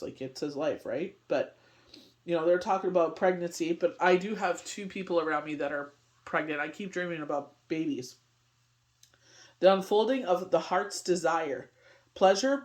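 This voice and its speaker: A woman's voice: fairly low pitch, darkish tone. Rambling middle-aged woman reading tarot. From Canada. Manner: rambling, heavy on "you know what I mean". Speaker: tarot reader. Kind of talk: casual daily tarot reading.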